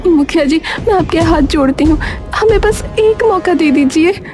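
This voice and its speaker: A woman's voice: very high-pitched, animated, normal speed, a little mellow, faint music behind, voice pushed up. A woman voicing a distressed, kind young village wife in a cartoon story, imploring and earnest. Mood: pleading.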